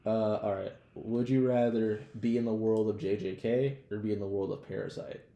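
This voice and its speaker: Low, gravelly man in casual banter. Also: steady tone, normal speed, mellow, roomy sound. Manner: slangy, profane, laughs often, hedges with "like". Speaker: podcast co-host. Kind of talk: casual banter, would-you-rather questions.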